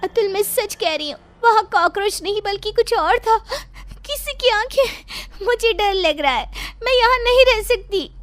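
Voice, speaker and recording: Woman acting very high-pitched, anxious young wife. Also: animated, normal speed. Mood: frightened, pleading. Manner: anxious, pleading. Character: frightened young newlywed wife, sensing something eerie. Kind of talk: Hindi horror cartoon dialogue.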